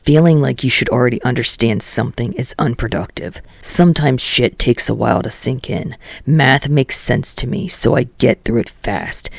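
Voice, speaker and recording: A man playing a brash, foul-mouthed, short-tempered teenage boy in a fan fiction audiobook, with a gruff, blunt, growling delivery. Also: medium pitch, no echo. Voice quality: gravelly, growling.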